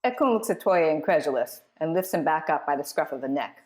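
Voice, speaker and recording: A woman's voice: low-pitched, expressive, fast, a little mellow. A woman hosting as a voice director, explaining scenes and reading out stage directions. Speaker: host and voice director.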